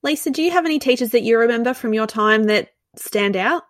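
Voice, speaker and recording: A woman's voice: on the high side, animated, fast, no echo. Woman interviewer, dry close sound, attentive, affirming. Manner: attentive, affirming, frequent short backchannels. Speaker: interview host. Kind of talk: casual conversational interview.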